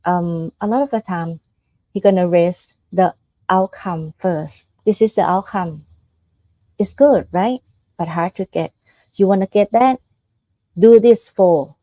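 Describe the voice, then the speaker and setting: A woman's voice: fairly low pitch, takes it slowly, long pauses, mellow, dry recording, poor audio. A woman from Thailand with a Thai accent giving an informal dharma talk as a Buddhist nun, explaining step by step in a conversational way with check-in questions.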